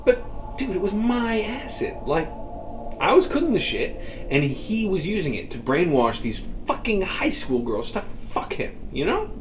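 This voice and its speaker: Guy doing a rambling chemist dude, like-like-like, swears a lot, lowish quiet mumble, faint hiss. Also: sing-song, a little slow. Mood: indignant. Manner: rambling, full of fillers and swearing. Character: young man, drug-cooking chemist, rambling and guarded. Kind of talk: audiobook dialogue reading.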